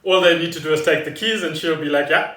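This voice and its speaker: A man's voice: fairly high pitch, fast talker, background noise. A South African man chatting casually and loosely as a podcast host. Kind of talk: casual rambling chat. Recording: echoey room, distant microphone.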